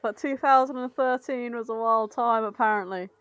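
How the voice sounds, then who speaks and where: A woman's voice: on the high side, moderate pace, fairly bright tone, dry recording, lo-fi sound. A woman co-hosting a comedy podcast, chatting casually in a wry, irreverent way. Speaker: podcast co-host.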